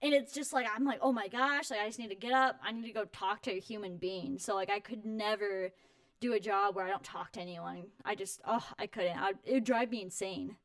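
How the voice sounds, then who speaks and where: A woman's voice: steady tone, dry recording. Young woman in an interview, super bubbly and chatty, laughs easily, dry close sound. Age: 20s. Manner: bubbly, high-energy, effusive, quick to laugh. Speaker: interview guest, home warranty company representative. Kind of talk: casual chat.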